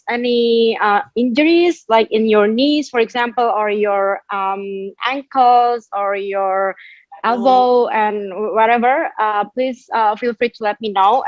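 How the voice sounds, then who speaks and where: A woman's voice: on the high side, dry recording. A woman, instructing an online yoga class in a reassuring, encouraging manner. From Indonesia. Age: 20s. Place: large empty sports hall. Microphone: video call, laptop a few feet away. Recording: muffled video call audio.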